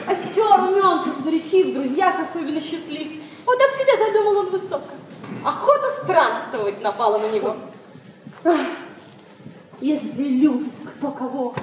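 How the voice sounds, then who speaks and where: An actress playing a proud, lovestruck young noblewoman in classical Russian verse drama. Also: high voice. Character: young noblewoman Sophia, proud, lovestruck, evasive with her father. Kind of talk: classical verse stage acting. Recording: echoey, distant stage-like recording, clear noise.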